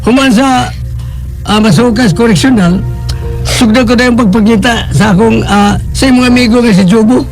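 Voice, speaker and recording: An actor playing an elderly, fretful but fond grandfather in a Filipino radio drama, halting and folksy. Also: very high-pitched, poor audio. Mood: reassuring. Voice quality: creaky. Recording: loud music bed over radio drama.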